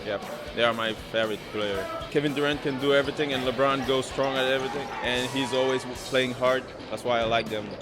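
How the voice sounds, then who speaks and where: A man's voice: medium pitch, steady tone. A teenage boy with a Senegalese accent, a basketball recruit, giving interview answers in simple phrasing. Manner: simple non-native phrasing, measured. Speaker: high school basketball recruit. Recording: loud music underneath.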